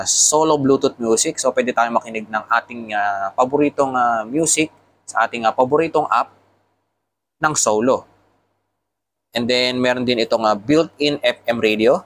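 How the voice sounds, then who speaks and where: A man's voice: mid-range voice, a bit bright. A man with a Filipino accent giving a casual product tutorial, explaining things step by step as a motovlogger. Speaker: motovlogger reviewing motorcycle intercoms.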